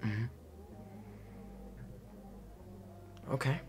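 A young man playing a caring best friend at a party in an ASMR roleplay, talking casually in a halting, rambling way. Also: lightly acted. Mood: apologetic, caring. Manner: halting, rambling, breathy chuckles. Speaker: roleplay audio performer.

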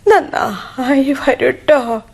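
A woman playing a frail, bedridden old grandmother in a radio drama, speaking feebly and haltingly with affection. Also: high-pitched, vocal fry, a little mellow, slightly rough, full character voice. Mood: tender.

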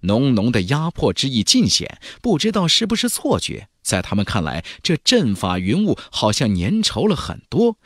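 A man's voice: medium pitch, fairly bright tone, dry recording, clean studio sound. A man narrating a fantasy novel as a dramatic scripted audiobook.

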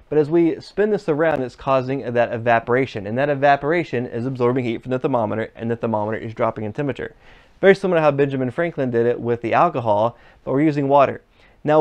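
A man's voice: medium pitch, darkish tone, dull audio. A man in his thirties teaching an HVAC class in a workshop, explaining step by step and putting questions to the viewer. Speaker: HVAC instructor. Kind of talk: classroom demonstration lesson. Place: classroom workshop with equipment, indoors. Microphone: fixed camera, standing at mid distance.

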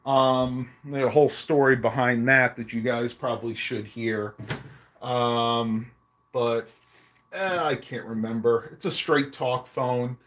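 A man chats through a home live stream, his voice worn and going hoarse. He rambles loosely, filling gaps with ums and uhs. The sound comes from a phone held at arm's length in a small bedroom. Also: mid-range voice, fairly even intonation, takes it slowly, a bit bright, a little hiss or hum. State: losing his voice. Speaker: vlogger. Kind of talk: casual live stream chat.

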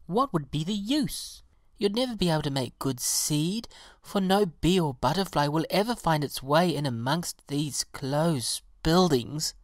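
A woman's voice: sits low, lively intonation, a little slow, dry recording, clean studio sound, pitched above the performer's own voice. A woman voicing a gloomy, self-pitying pansy flower in a children's story, grumbling and dramatic.

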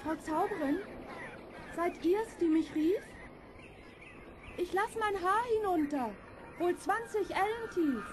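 An actress playing a gentle, innocent young captive girl in a fairy-tale audio drama. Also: very high voice, lively intonation, slow pace, pauses often, slight background noise, exaggerated voice. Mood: singsong, inviting.

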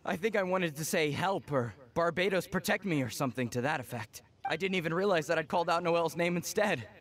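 An actor playing a timid, grateful young villager in an anime-style video game, with a nervous, sheepish manner. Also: male voice, fairly high pitch, expressive, normal speed, a bit bright.

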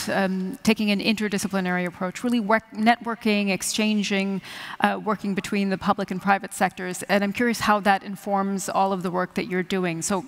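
A woman moderating a conference panel on a large stage, brisk as she steers the discussion. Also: medium pitch.

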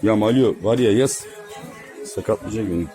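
A man's voice: on the low side, moderate pace, dark tone, faint music behind. A middle-aged man giving a casual walking tour vlog outdoors, chatty and teasing, talking to his viewers as friends. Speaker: vlogger giving a street tour. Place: outdoors on a busy street. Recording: outdoor street crowd behind.

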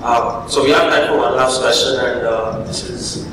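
A man's voice: mid-range voice, steady tone, normal speed. A man moderating a conference panel, talking casually into a handheld microphone on a hall stage. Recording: echoey hall, distant mic, noisy.

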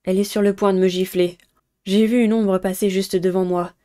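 A young adult woman with a soft-toned, mellow voice at medium pitch. She hosts a visual novel playthrough, switching between reading aloud and chatty commentary. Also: flat delivery, brisk. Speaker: gaming video host.

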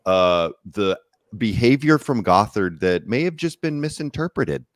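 Host: a man with a very low voice, supportive and easygoing, dry close sound. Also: big pitch swings, a little slow, dry recording. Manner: supportive, frequent brief agreement. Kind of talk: livestream panel discussion.

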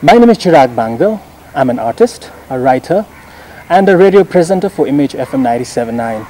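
Lively young radio presenter interviewing outdoors. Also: male voice, a little high, a little fast, poor audio. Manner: lively, admiring questions with frequent "mm-hmm" backchannels. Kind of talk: interview hosting. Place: outdoor garden. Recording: outdoor recording with faint background noise.

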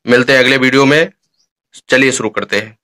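A man's voice: medium pitch, fairly even intonation, fairly quick, pushed and bright. A young man presenting a vlog introduction to camera as host, recorded indoors on a phone. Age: young adult. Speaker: YouTube vlogger, host. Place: dark room indoors.

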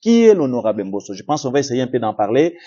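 A man with a Congolese French accent hosts a television talk show, his voice dark and mellow. He hesitates often, filling gaps with "euh" and repeating himself. The recording sounds somewhat dull and lo-fi. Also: mid-range voice, sing-song, fast talker. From Democratic Republic of the Congo. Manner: hesitant, many "euh" fillers, repetitive phrasing. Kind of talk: TV studio interview.

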